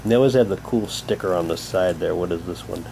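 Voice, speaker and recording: A man giving a halting, unhurried, casual commentary as he shows and reads out items for an unboxing video. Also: low voice, creaky. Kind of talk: casual unboxing commentary, reading packaging aloud. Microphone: handheld camera's built-in mic. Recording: noisy home camcorder, steady hum.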